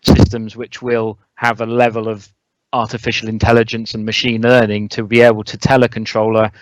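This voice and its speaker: A man speaking as an expert interview guest, explaining things in a measured, conversational way. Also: on the low side, completely flat delivery, no echo, rough recording. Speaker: air traffic controller, interview guest.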